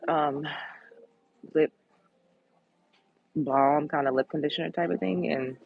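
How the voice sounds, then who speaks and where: Deep-voiced sultry woman host, gravelly. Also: slow, dry recording, narrow, tinny audio. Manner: candid, blunt. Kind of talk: casual livestream chat reading comments.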